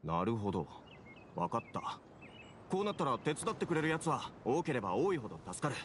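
A man voicing a brusque young railway caretaker in a Japanese anime game, speaking in a rough, casual, animated way. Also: medium pitch, brisk, slight background noise, natural voice. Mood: matter-of-fact. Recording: music behind the voice.

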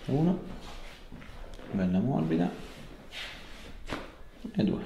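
A man's voice: mid-range voice, very animated, slow pace, creaky, warm tone, muffled recording. A man in his thirties, a chiropractor, talking a patient through a hands-on session in an informal, reassuring way. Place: small treatment room indoors. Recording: very echoey small room, faint noise.

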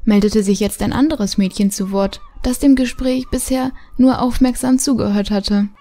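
A woman's voice: mid-range voice, steady tone, normal speed, mellow, lightly acted. A woman narrating a radio play for children as its storyteller. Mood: storytelling.

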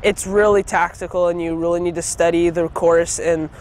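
A teenage boy with a high voice speaks earnestly about his sport. He stands outdoors, and faint ambient noise sits behind him. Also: little pitch movement, normal speed, a little mellow. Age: teens. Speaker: student sailor. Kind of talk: interview answers. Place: outdoor schoolyard.

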